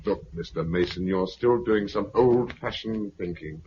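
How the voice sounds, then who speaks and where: A man playing a smug, authoritarian commander in a 1950s radio drama, brisk, officious and condescending. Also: low voice, a little mellow. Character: smug authoritarian military commander of a post-apocalyptic regime. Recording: dull, noisy 1950s radio recording.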